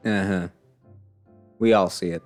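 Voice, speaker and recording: A man's voice: sits low, fairly even intonation, unhurried, faint music behind. A man livestreaming a game, giving casual commentary full of wry, joking asides.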